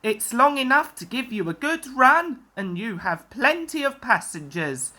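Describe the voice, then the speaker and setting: Woman voicing a friendly steam engine, bright and lively. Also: on the high side, unhurried, full character voice. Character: friendly, wistful, busy male steam engine.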